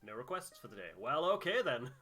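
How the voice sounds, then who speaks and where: A man's voice: medium pitch, sing-song, a little fast, bright, forceful tone, faint music behind. A man giving casual commentary as he plays a video game, thinking aloud and muttering through his choices. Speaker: gamer playing and commenting on a playthrough.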